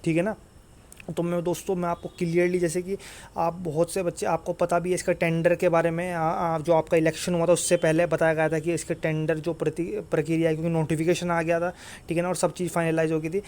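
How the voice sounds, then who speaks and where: A man's voice: a little high, completely flat delivery, brisk, a little mellow. A man giving an informal exam update to students in an insistent, repetitive way. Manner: repetitive, insistent, often tags phrases with "okay". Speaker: exam-news YouTuber and coach.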